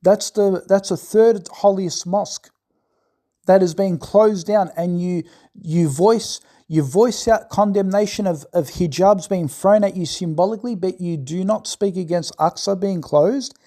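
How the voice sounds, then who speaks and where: A man's voice: high-pitched, unhurried, warm tone. A man in an impassioned, scolding monologue who keeps asking "right?". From Australia. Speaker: commentator.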